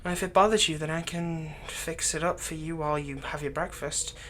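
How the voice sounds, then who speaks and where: A man playing a doting, lightly teasing fantasy villain captor in an ASMR roleplay, speaking softly and tenderly, coaxing the listener without hurry. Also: a little high, fairly even intonation, slight background noise, natural voice. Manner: soft, coaxing, unhurried, tender.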